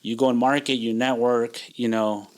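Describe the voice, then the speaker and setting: A man in his forties, a chiropractor guest in a podcast interview, talking in a relaxed, reflective way with many fillers and restarts. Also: medium pitch, normal speed. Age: 40s. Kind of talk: casual interview conversation.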